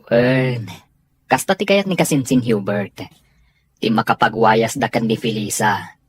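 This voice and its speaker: A man playing a calm, candid young gentleman in an Ilocano radio drama, with an Ilocano accent. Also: lowish voice, a little slow, slight background noise, close to an everyday voice. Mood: calm, assuring. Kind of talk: Filipino radio drama acting.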